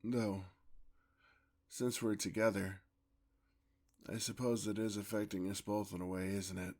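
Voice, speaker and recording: Man acting as a weary, husky, slow-spoken gentleman vampire. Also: lowish voice, little pitch movement. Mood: apologetic, affectionate. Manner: formal, old-fashioned, endearment-laden speech. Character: weary, tender, courtly centuries-old male vampire. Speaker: ASMR roleplay performer. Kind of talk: ASMR roleplay acting.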